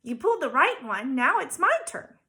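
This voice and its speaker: Woman voicing a tiny wheedling talking pigtail, high and whiny. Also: sing-song, moderate pace, pushed and bright, full character voice. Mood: whining. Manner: coaxing, urging, pleading. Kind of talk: children's storybook read-aloud character voice.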